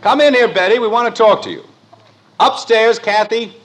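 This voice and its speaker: A man's voice: extremely high pitch, moderate pace. A man playing an exasperated, protective middle-aged father in a 1950s radio comedy, blustery and emphatic. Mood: stern. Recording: noisy, crackly old radio recording.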